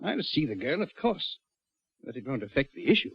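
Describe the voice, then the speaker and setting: Uneasy prison governor, swooping pitch. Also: male voice, very animated, a little fast, vocal fry, slightly rough, full character voice. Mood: strained. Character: anxious British prison governor, firm but uneasy. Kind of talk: 1940s radio acting. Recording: dull vintage radio recording.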